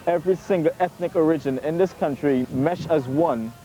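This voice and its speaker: A man's voice: on the high side, normal speed, mellow, poor audio. Proud cheery 40s guy from trinidad talking outside. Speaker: music producer. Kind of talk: documentary interview.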